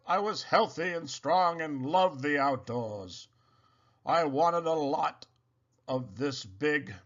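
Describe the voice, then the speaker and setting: A hearty, boisterous schoolgirl, as put on by a man, proclaims a president's lines in a storybook play. The voice is exuberant, pushed high and very bright. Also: lo-fi sound, heavily acted. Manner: exuberant, loud. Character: boisterous, hearty schoolgirl playing Teddy Roosevelt.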